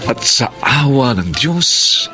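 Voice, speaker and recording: Earnest Filipino husband over music. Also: male voice, medium pitch, sing-song, slow. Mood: calm, sincere. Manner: emotive, sincere. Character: earnest, devoted, repentant husband. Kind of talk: radio drama acting.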